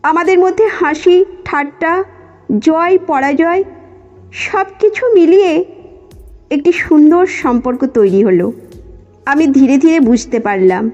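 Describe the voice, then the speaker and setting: A woman's voice: sits high, warm tone. A woman reading a scripted first-person story as its narrator. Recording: muffled lo-fi audio with music. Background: background music.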